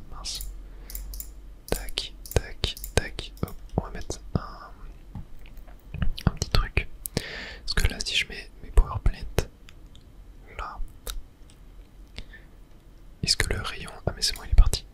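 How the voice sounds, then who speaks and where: A gamer speaks entirely in a soft, breathy whisper. The delivery is ASMR gameplay commentary, casual and muttered, thinking aloud in slangy little asides. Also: takes it slowly, long pauses. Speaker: video game streamer narrating own play.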